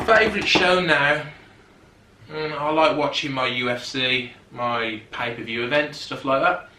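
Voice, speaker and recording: A man's voice: medium pitch, a little slow, bright, forceful tone, reverberant, distant mic, slight background noise. A man, chatting casually to camera from his settee and often rambling off topic as a fitness vlogger. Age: 30s. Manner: chatty, rambling, goes off on tangents. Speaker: fitness YouTuber. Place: sitting on a settee at home.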